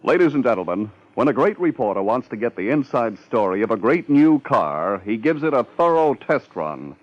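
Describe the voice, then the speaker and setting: A man's voice: mid-range voice, sing-song, moderate pace. A man announcing on 1950s radio, reading a script in a formal, emphatic manner. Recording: dull old radio recording.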